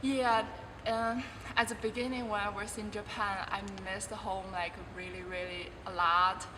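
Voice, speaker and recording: A woman in her twenties whose first language is Chinese answers questions in halting English, often stopping and restarting her sentences. She sits well back from the microphone. Her voice rings with long reverb, and steady background noise is clearly heard. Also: mid-range voice, distant mic. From China. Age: 20s. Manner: halting non-native English with many restarts. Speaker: college volleyball player. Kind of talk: interview answers.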